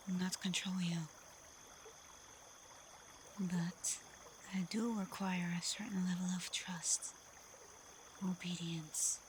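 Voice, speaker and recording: A woman playing a confident, protective, teasing female werewolf alpha in a soft, breathy, often whispered ASMR roleplay voice. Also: on the low side, a little hiss or hum. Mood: firm, reassuring. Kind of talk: slow ASMR roleplay addressed to the listener.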